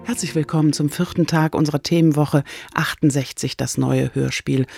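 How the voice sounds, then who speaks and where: A male radio presenter reads a scripted introduction. His slightly high voice has a lot of fry in it and is recorded dry and close. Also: a little mellow, dry recording.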